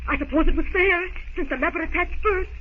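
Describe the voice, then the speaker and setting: A woman's voice: very high voice, fast. An actress playing a genteel, hesitant young woman in a 1930s radio serial. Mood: shaken. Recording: tinny, faintly hissy 1930s radio recording.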